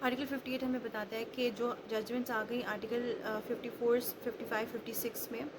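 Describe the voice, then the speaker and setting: A woman's voice: a little high, level tone, a little fast. A woman giving an explanatory law lecture step by step, mixing in English legal terms. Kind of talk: explanatory lecture with worked examples. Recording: clearly noisy recording with background ambience.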